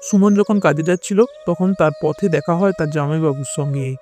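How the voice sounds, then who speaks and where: A man's voice: a little high, a little fast, darkish tone. A man reading scripted narration for a cartoon folk-style tale. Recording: music bed behind the voice.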